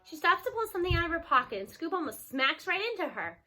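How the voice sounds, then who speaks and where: A woman narrating a children's story aloud in an expressive read-aloud style. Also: very high-pitched, fast talker, soft background music, poor audio.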